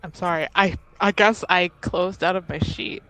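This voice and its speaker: A woman's voice: on the low side, fairly even intonation, moderate pace, a bit bright, poor audio. A woman playing a tabletop roleplaying game, talking casually and exclaiming informally, slipping into improvised character speech.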